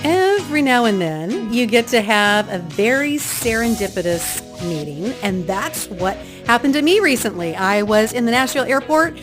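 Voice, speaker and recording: A woman's voice: on the high side, very animated, moderate pace, hardly any pauses, music in the background. A middle-aged woman hosting a faith podcast, talking in a chatty, easygoing way and laughing often. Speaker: podcast host. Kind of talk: casual interview chat.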